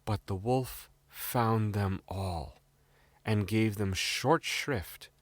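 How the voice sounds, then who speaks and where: A British male storyteller reads a scripted fairy tale. His voice sits a little low. The sound is dry and close, like a bedtime storybook recording. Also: extremely slow, no room sound.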